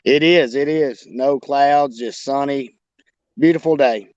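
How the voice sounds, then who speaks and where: A man's voice: mid-range voice, takes it slowly, a little mellow, no echo, highs cut off. A man chatting casually as a hobbyist guest on a livestream video call, easygoing and given to repeating himself. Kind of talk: casual chat. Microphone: internet video call.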